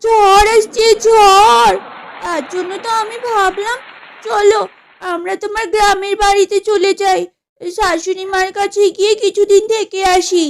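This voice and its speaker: A woman voicing an excitable, superstitious young housewife in a comedy cartoon, given to melodramatic exclamations. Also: very high-pitched, a little slow, slight background noise, poor audio. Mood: urgent, fretful. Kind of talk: exaggerated comedy cartoon acting.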